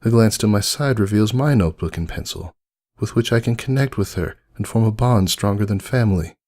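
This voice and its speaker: A man voicing a wistful, devoted old stitched toy, narrating a dramatic fan fiction story in an earnest, measured way. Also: fairly low pitch, darkish tone. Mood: hopeful, tender. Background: music under the voice.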